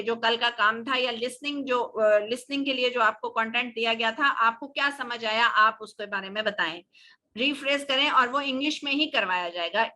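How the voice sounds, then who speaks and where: A woman's voice: a little high, bright, forceful tone. A woman with a Pakistani accent teaching a live online English class, answering questions in explanatory Urdu mixed with English. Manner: explanatory, code-switching between Urdu and English.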